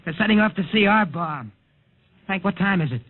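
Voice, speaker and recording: An actor playing a desperate young rocket pilot in an old radio drama, urgent, pleading and insistent. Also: male voice, high-pitched, expressive, fairly quick. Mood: frantic pleading. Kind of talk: 1950s radio drama acting. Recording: muffled, scratchy vintage radio recording.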